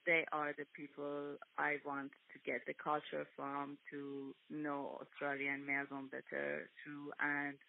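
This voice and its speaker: Young Iranian woman, Persian accent, gravelly and hesitant, heard over a tinny phone line. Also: deep voice. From Iran. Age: young adult. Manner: hesitant, many pauses and fillers. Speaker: guest. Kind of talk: telephone interview.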